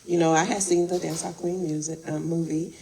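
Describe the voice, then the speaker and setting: A Chicago woman speaks as an interview guest in a lowish, gravelly voice full of vocal fry. She chats casually, laughs often and quotes what she said back then. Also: little pitch movement, fairly quick, a crowd in the background, poor audio. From Chicago, born in Arkansas. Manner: laughing, chatty, quotes herself. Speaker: interview guest, event co-producer.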